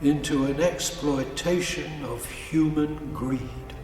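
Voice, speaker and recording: Guy narrating slow and grave, kinda high voice, far off in a big echoey space. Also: fairly even intonation. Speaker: narrator in a cantata. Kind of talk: solemn scripted narration. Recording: echoey hall, distant mic, music.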